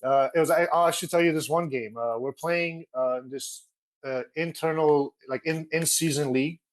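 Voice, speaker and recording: A man's voice: a little high, normal speed. Young man from Los Angeles, interview guest, easygoing storyteller heard through an earphone mic on a call. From Los Angeles, USA. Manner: relaxed storytelling, with frequent fillers. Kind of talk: casual interview. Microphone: video call with earphone mic.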